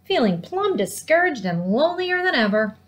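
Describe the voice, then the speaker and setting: A middle-aged woman reading a children's storybook aloud in an animated way, with dramatic, drawn-out emphasis. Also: high-pitched, a little hiss or hum. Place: living room at home, indoors.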